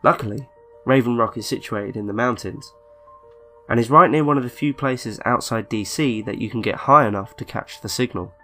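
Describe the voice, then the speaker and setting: A man reading a scripted online horror story in a measured, deliberate way, with pauses between phrases. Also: mid-range voice, bright, forceful tone. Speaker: narrator of a creepypasta video. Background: background music.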